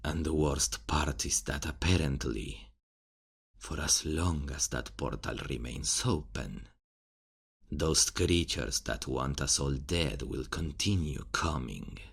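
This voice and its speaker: Man acting a very deep, solemn knight. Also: creaky, husky, exaggerated voice. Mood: grave, foreboding. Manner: solemn, formal, deliberate. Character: grave knight reporting war to the royal council. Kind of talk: fantasy audio roleplay acting.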